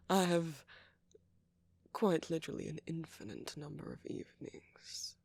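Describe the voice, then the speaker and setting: A man playing a friendly, flirty ancient vampire in an intimate ASMR roleplay, breathy and often near a whisper, with gentle, teasing, genteel phrasing. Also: fairly high pitch, expressive, slow pace, dry recording, exaggerated voice.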